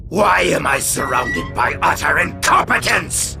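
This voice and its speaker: Gravelly, pompous raging supervillain. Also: male voice, a little high, animated, a little slow, shouting, over music, exaggerated voice. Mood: furious. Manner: pompous, theatrical, ranting. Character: raging, grandiose male supervillain mastermind. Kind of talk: video game cutscene acting.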